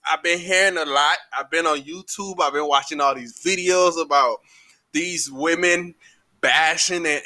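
High, bright Liberian man ranting. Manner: animated, emphatic, repeats words when heated. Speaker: podcast host. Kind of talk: ranting solo podcast monologue.

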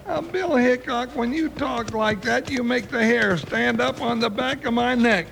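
A comic, easily spooked American frontier sidekick with a very high, creaky voice. He exclaims in folksy style, as in 1950s radio westerns, on a noisy old recording. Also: unhurried, fairly bright tone, full character voice. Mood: nervous.

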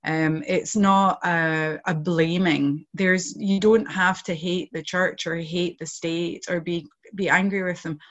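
A woman's voice: on the low side, fairly even intonation, slow, few pauses, dull audio. A woman from outside Ireland, a project founder talking earnestly in an interview, with lots of "you know" fillers. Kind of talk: reflective interview talk.

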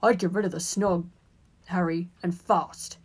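A woman's voice: lowish voice, steady tone, measured pace, slightly rough. A teenage schoolboy sidekick from a storybook, acted out by a woman. He speaks bluntly and warily, brushing things off in a dismissive way. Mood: warning.

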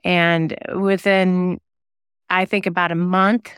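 A woman's voice: on the low side, level tone, slow, fairly bright tone, clear, resonant tone, no room sound, clean studio sound. A woman talking reflectively as an interview guest, thoughtfully telling personal anecdotes about creative work. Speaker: singer-songwriter and novelist guest; former radio DJ.

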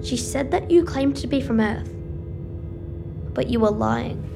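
Creaky-voiced, doubting young boy. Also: fairly high pitch, measured pace. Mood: suspicious, hurt. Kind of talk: audio drama acting. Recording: clear music underneath.

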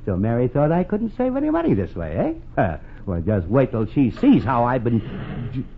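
A muffled, noisy old radio recording carries a middle-aged American suburban dad. He is exasperated and tight-fisted, scolding with big, swooping swings of pitch. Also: huge pitch swings, moderate pace. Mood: smug. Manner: blustery, emphatic scolding. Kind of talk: 1940s radio comedy acting.

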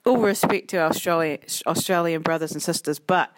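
Co-host: New Zealand woman, lowish voice, dry close sound, frank and emphatic. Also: brisk, no echo, low-quality recording. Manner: frank, emphatic, half-joking complaints. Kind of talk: casual chat.

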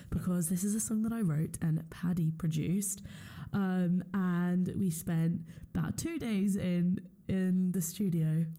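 A woman's voice: lowish voice, dark tone. A young adult woman, chatting casually as a radio interviewer. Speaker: interviewer and musician.

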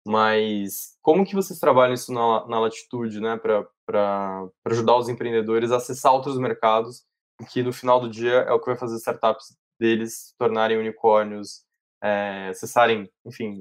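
A Brazilian man hosting an interview, asking questions in a measured, thoughtful way with some hedging. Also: lowish voice, fairly even intonation. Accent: Brazilian Portuguese. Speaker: interview host.

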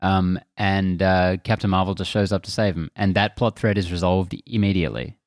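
A man's voice: low voice, steady tone, moderate pace, dry recording, studio quality. A man co-hosting a film review podcast in a casual conversational style.

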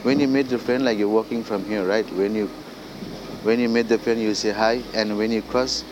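A Nepali-speaking man with a Nepali accent, a singer-songwriter talking casually as a guest, halting and full of fillers like "you know" and "like". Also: on the low side, fairly even intonation, noisy background. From Darjeeling hills, India. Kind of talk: casual interview. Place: indoors.

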